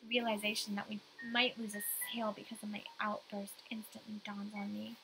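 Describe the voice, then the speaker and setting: A woman's voice: medium pitch, level tone, fairly quick, music in the background, rough recording. A young woman reading a visual novel aloud as dramatic first-person story narration.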